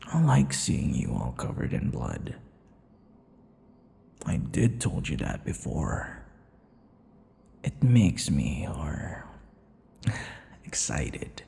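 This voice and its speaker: A man playing a possessive, cocky, menacing vampire captor in an ASMR roleplay, taunting in a breathy voice that often drops into whispers. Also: very deep voice, huge pitch swings, very slow, long pauses, warm tone, in a large hall, heavily acted. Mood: teasing, gloating. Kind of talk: ASMR boyfriend audio roleplay.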